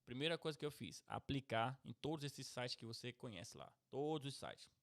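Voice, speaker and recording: A man's voice: mid-range voice, lively intonation, normal speed. Middle-aged Pernambucan man, casual podcast host, mid-range voice, talkative. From Brazil, Pernambuco. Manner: chatty, keeps tagging "certo?" and "beleza?".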